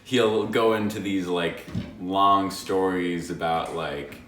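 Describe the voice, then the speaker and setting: Male haul-video co-host, deep voice, hesitant and understated delivery, unscripted, roomy sound. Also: fairly even intonation, takes it slowly, a bit bright. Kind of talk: casual unscripted chat showing finds. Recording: roomy echo, some background noise.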